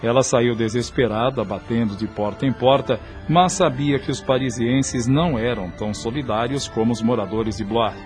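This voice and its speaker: A man's voice: medium pitch, moderate pace, few pauses, darkish tone, faint music behind. A man with a Brazilian accent reading scripted narration for a radio drama.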